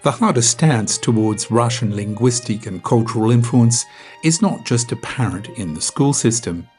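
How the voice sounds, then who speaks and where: A male reporter giving steady, scripted news narration. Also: mid-range voice, moderate pace, over music.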